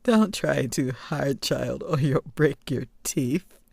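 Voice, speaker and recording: A woman voicing a kindly, amused old woman of the woods in a storybook, speaking in a measured, wry, teasing way. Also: very deep voice, expressive, vocal fry, a little mellow, slightly rough, no room sound, studio quality, exaggerated voice. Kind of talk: storybook character reading.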